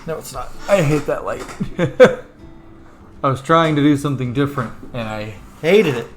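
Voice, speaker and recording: A man's voice: medium pitch, animated, moderate pace. A man doing casual let's play commentary, playful and sweary, talking to the game's characters in a roomy-sounding space. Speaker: video game streamer. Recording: roomy mic with game music behind. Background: game music.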